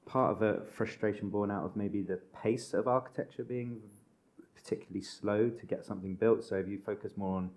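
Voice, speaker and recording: A man, an architect turned interior designer, explaining his path on a panel in a relaxed way. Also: lowish voice, brisk. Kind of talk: panel discussion. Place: stage at a design event.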